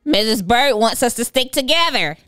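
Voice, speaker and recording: A woman's voice: a little high, animated, normal speed, a bit bright, clear, resonant tone, no room sound, studio quality, soft background music, voice pushed up. A woman voicing a fussy young animal schoolboy in a children's storybook, whiny and insistent. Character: Philip, fussy, rule-following young boy animal schoolkid.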